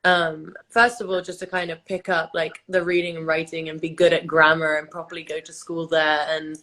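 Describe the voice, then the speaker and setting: A woman's voice: sits low, little pitch movement, moderate pace, pushed and bright, low-quality recording. A young woman from England whose first language is Albanian, chatting casually on a phone livestream, full of fillers and restarts. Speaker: host of a livestream chat. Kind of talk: casual chat. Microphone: phone on a video livestream.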